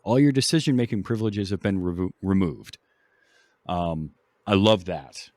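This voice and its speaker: A man's voice: deep voice, moderate pace, a little mellow. A man hosting a podcast, chatting casually about film in a rambling, enthusiastic way. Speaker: podcast host.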